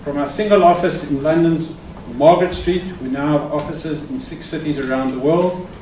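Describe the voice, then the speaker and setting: A man with a fairly high voice welcomes the audience in a formal, polite way. He is heard from far off in an echoey hall, with faint background noise. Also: moderate pace, a little mellow. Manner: formal, courteous. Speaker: host introducing the speaker. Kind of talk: welcome speech. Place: conference hall.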